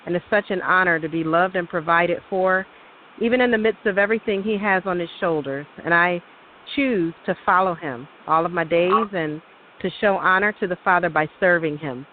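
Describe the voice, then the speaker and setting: A woman's voice: on the low side, moderate pace. A woman co-hosting a religious show, giving a reverent, grateful devotional testimony. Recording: hissy, tinny phone line.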